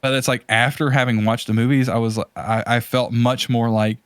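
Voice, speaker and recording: Male podcast host, mid-range voice, dry close sound, casual and rambling delivery with halting searches for the right word. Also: mid-range voice, little pitch movement, clean tone, no echo.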